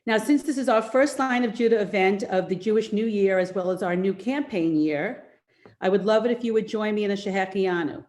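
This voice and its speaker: A woman's voice: mid-range voice, fairly quick, darkish tone. A middle-aged woman hosting an event, reading formal prepared welcome remarks over a video call. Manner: formal, reads a scripted welcome. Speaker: event co-chair and host. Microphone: video call mic.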